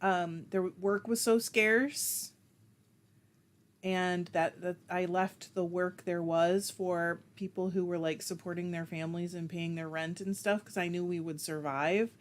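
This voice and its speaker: A woman's voice: lowish voice, fairly even intonation, unhurried. A woman hosting a casual livestream, reading viewer comments aloud and chatting back in a self-deprecating way, laughing often. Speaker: livestream host.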